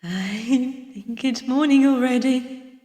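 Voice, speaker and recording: A woman voicing a reserved, weary detective who narrates a visual novel in a measured, dramatic way. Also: high voice, mellow. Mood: thoughtful. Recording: distant mic, echoey long reverb.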